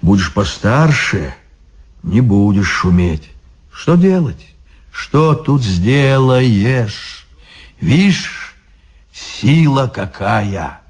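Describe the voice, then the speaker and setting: A man voicing old grey-bearded monks who speak slowly, soothing and resigned. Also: fairly low pitch, big pitch swings, husky, full character voice.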